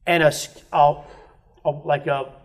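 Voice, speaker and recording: Man, podcast guest, slightly high voice, frank, swears a lot, acts out quotes. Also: flat delivery, a little slow. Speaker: guest musician. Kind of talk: candid storytelling in casual chat.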